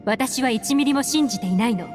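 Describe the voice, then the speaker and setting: A woman's voice: a little high, a little fast, music in the background, lightly acted. A woman playing a cool, sceptical, secretive female agent in a video game, composed and aloof, with elegant phrasing. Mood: dismissive.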